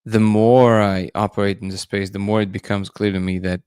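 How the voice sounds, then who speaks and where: A man's voice: fairly low pitch, flat delivery, moderate pace, a little mellow, smooth, no echo, clean studio sound. A man hosting a casual livestream, musing loosely and greeting viewers by name. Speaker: livestream host.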